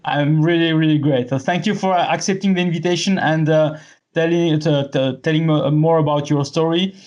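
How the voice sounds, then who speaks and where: Friendly halting male podcast host. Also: a little high, fairly even intonation, a little mellow, highs cut off. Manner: friendly, halting, many fillers and restarts. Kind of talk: podcast interview.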